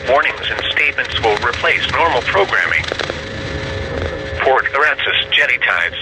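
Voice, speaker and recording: A man's voice: mid-range voice, expressive, moderate pace. A synthetic-sounding man reads a scripted weather bulletin over the radio in a formal, impersonal, methodical way. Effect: radio broadcast. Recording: noisy weather radio reception.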